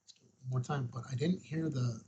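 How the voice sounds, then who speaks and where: A man with a husky, rough-edged voice presenting a casual step-by-step tutorial, informal and thinking aloud as he goes. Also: mid-range voice, fairly even intonation, fast, mellow, highs cut off. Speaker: host, ham radio tutorial presenter.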